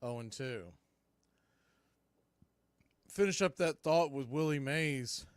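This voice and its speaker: A man's voice: mid-range voice, takes it slowly, no room sound, clean studio sound. A man calling live baseball play-by-play and mixing in trivia, with clipped calls that trail off at phrase ends.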